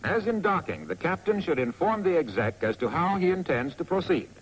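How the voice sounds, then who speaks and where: A man with an American accent narrates a 1940s instructional film in a wry, clearly phrased, scripted manner on a vintage soundtrack. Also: on the high side, sing-song, a little fast, a little hiss or hum, poor audio. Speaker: narrator of a military training film.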